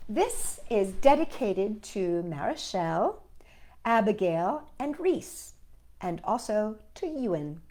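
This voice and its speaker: A woman hosting children's storytime, reading a picture book aloud expressively and deliberately with chatty asides. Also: medium pitch. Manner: expressive, deliberate, talking to young listeners.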